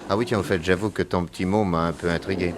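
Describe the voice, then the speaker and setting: An actor playing an urbane, curious detective, amiable and a little wary, in a mid-century French radio drama, with a teasing, conversational delivery. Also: male voice, sits low, fairly even intonation, fast, very noisy, close to an everyday voice.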